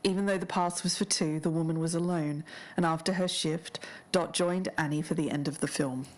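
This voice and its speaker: A woman's voice: low-pitched, fairly even intonation, brisk. A woman co-hosting a podcast, moving between scripted narration and casual chat. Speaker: podcast co-host.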